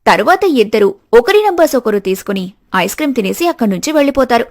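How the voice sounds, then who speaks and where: Fairly high-voiced woman narrating a story. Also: big pitch swings, fast talker, dry recording.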